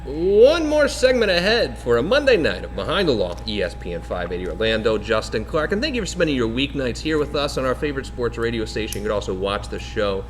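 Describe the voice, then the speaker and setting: A man hosts a live radio talk show from a bar. He talks quickly and chattily, often restarting and correcting himself mid-sentence. His voice sits at an ordinary middle pitch. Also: big pitch swings, a little hiss or hum. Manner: rambling, self-correcting, chatty.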